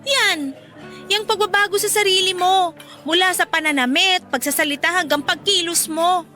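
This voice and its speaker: Bright, very high-pitched frank best friend. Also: female voice, moderate pace, faint music behind. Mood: disapproving. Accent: Filipino. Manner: blunt, questioning, expressive. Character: frank, teasing young female best friend, disapproving. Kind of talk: Filipino radio drama dialogue.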